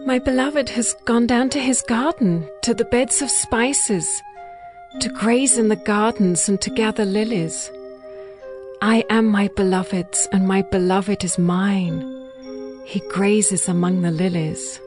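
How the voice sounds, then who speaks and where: A woman's voice: medium pitch, fairly even intonation, a little slow, natural voice. A woman playing a lovesick young bride who yearns for her beloved, in a tender, poetic scripture drama. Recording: music bed behind the voice.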